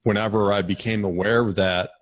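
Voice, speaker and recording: A man co-hosting a music interview, rambling and halting as he searches for his words. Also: on the low side, steady tone. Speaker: co-host. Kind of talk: casual interview chat.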